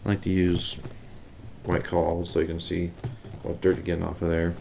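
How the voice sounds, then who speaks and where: A man giving a casual, unscripted how-to demonstration, talking haltingly and unhurriedly as he works. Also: low-pitched, monotone, vocal fry, warm tone, slight background noise. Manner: unhurried, halting, thinking aloud while working. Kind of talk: casual unscripted hands-on tutorial.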